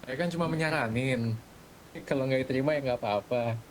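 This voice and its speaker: Mid-pitched man, faintly hissy game chat. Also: a little fast. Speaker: roleplay gang member. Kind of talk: casual chat in an online game.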